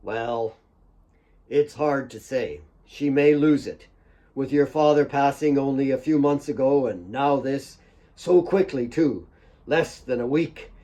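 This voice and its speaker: Male narrator as troubled doctor, grave, halting, indignant, straining for composure, audiobook drama. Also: medium pitch, mellow, natural voice. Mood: grave, upset. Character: troubled male doctor, upset yet trying to stay composed.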